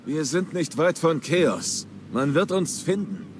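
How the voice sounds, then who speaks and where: A beleaguered pirate skipper from a game defends himself in a high, animated voice. Loud outdoor ambience competes with the speech. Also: male voice, slow, background noise. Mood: placating. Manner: defensive, pleading to explain. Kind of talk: video game voice acting.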